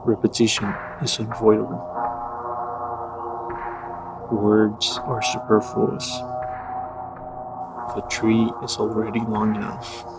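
A man recites spoken-word poetry in a low, flat, unhurried voice. He weighs each short phrase and leaves long pauses between them. Loud music plays beneath him. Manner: measured, grave, pausing between phrases. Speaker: poetry reader.

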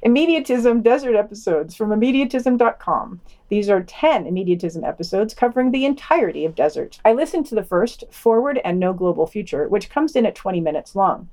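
A woman's voice: medium pitch, animated, a little fast, few pauses, mellow. A woman co-hosting a podcast, reading a scripted news round-up and dropping in wry, sardonic asides. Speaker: podcast co-host.

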